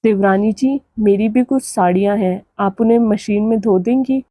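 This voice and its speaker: A woman's voice: mid-range voice, normal speed, mellow, no echo, studio quality, close to an everyday voice. A woman voicing a meek, polite young village daughter-in-law in a cartoon moral story. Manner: polite, deferential.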